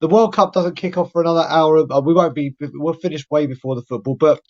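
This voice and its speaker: A man's voice: on the high side, quick pace. A man, hosting a live fan podcast in a chatty, rambling way. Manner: chatty, rambling shout-outs. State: croaky voice. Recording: dull lo-fi audio.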